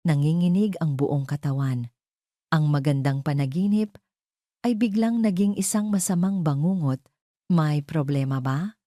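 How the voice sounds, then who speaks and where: A woman reads a scripted romance story as its narrator. Her voice is very low and a little mellow, with dramatic delivery. The recording is dry and close. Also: moderate pace, dry recording.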